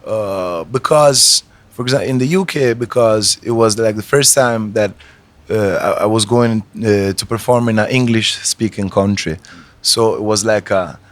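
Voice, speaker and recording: A man's voice: fairly low pitch, a little slow. A man whose first language is Italian, a reggae artist answering interview questions in a hesitant way, with many restarts.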